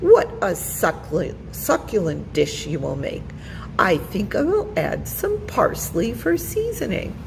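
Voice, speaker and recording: A woman voicing a sly, smooth-talking male fox villain in a children's storybook, with a coaxing manner. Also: medium pitch, huge pitch swings, unhurried, in a large hall, music in the background, full character voice, poor audio. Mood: gloating. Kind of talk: children's storybook character reading.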